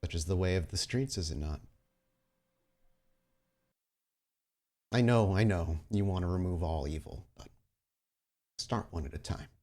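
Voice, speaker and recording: Man playing a smug, low-voiced vampire crime boss. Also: mellow. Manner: measured, taunting, formal.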